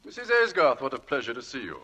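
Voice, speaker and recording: An actor playing a courteous, apologetic gentleman employer in a 1940s radio drama. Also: mid-range voice, wildly swooping pitch, fast, heavily acted. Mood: courteous, regretful. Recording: distant old radio broadcast, music behind.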